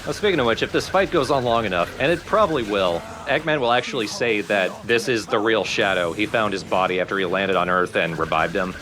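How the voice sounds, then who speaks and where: A man's voice: on the low side, lively intonation, fairly quick, hardly any pauses, noisy background. A man explaining a video game as he plays, casually and with a dry, jaded edge. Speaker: let's play commentator. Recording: loud game sound behind voice.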